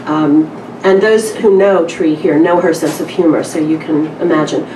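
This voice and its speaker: A woman's voice: sits low, fairly even intonation, normal speed. Woman in a roomy, noisy live recording. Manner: candid, wry, conversational. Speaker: publisher introducing and reading a story at a literary event. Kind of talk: informal live talk and story reading. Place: indoor live event room with small audience. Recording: roomy, distant mic with clear background noise.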